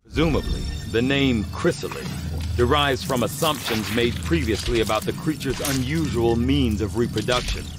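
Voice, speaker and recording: An actor playing a composed male scientist in a video game, speaking in a calm, formal, precise way. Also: medium pitch, moderate pace, music in the background, close to an everyday voice. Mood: explaining. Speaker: science officer. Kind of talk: video game cutscene acting.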